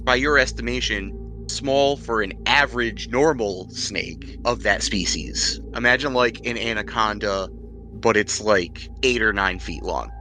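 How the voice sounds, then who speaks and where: A man narrating a tabletop roleplaying game as game master, improvising descriptions in a conversational, halting way. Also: lowish voice, bright, forceful tone. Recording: music playing behind the voice.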